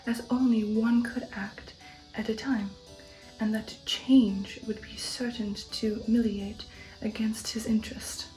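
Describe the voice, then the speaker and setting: A woman with a slightly high, mellow voice reads a novel aloud for ASMR. She is soft and partly whispered, keeping a very even, careful line. Faint music plays behind her. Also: unhurried, poor audio. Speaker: narrator reading a novel aloud. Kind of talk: ASMR audiobook narration.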